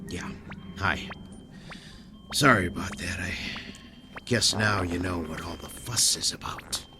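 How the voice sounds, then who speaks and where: An actor playing a shaken, fatalistic scientist in a radio drama, speaking haltingly and dropping into whispers. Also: male voice, sits low, background noise. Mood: resigned, apologetic. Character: Bob, shaken male scientist locked out, fatalistic.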